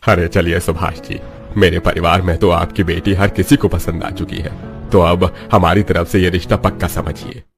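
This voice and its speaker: Guy doing a cartoon dad, middle-aged family elder, plain spoken, soft music behind. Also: low-pitched, flat delivery, moderate pace. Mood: agreeable. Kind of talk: Hindi cartoon story dubbing.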